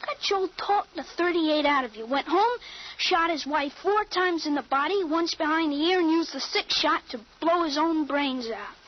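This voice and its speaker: A woman's voice: high voice, expressive, moderate pace. An actress playing an earnest, assured thirteen-year-old boy with a grown soldier's mind in an old-time radio drama. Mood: urgent, grave. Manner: assured, insistent, explanatory. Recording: muffled, noisy old radio recording.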